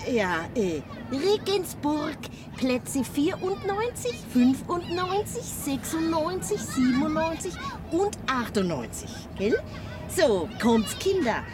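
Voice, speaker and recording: A woman's voice: high-pitched, big pitch swings, slow, a crowd in the background, heavily acted. An actress playing a bossy provincial mother on a train in a radio drama, with a southern German accent. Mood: insistent. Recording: noisy train carriage ambience with people.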